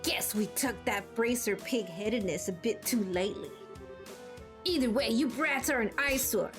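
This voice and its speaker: Woman putting on a slow, sneering masked villain. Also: a little high, lightly acted. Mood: threatening. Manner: taunting, drawn-out delivery. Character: menacing masked Jaeger villain, sneering and contemptuous. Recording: music behind the voice.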